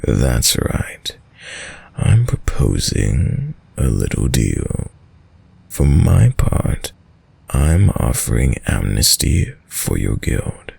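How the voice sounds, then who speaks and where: A man playing a dominant, sadistic vampire lord in an intimate audio roleplay, speaking in a deep, breathy, gravelly voice with courtly, teasing menace. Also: wildly swooping pitch, a little mellow, heavily acted. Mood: commanding, seductive. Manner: slow, silky, formal, teasing menace with drawn-out pauses.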